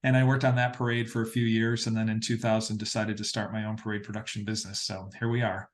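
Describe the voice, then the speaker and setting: Middle-aged American man, fairly even intonation. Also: fairly low pitch, quick pace. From Massachusetts, northeastern United States. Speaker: interview guest, parade producer. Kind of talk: conversational interview storytelling.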